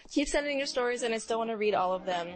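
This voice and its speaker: A woman's voice: fairly high pitch, fast. A woman co-hosting a podcast, chatting casually and telling stories informally, with dramatic delivery of quoted lines. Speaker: podcast co-host.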